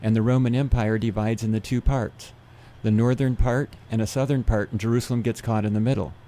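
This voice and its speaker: A man who gives seminars, guesting on a radio interview, explaining things in a steady, methodical way. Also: mid-range voice, a little fast. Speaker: seminar speaker.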